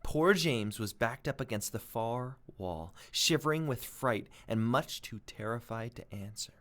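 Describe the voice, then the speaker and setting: Expressive male teacher with very lively pitch. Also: measured pace. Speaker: teacher reading aloud. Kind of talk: expressive storybook read-aloud and lesson.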